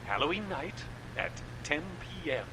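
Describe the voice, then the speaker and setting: A man's voice: on the high side, very animated, moderate pace, pushed and bright, a little hiss or hum, full character voice, poor audio. An actor playing a genial male radio horror-drama producer, talking with relish about spooky stories. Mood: wry. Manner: theatrical, relishing spooky talk.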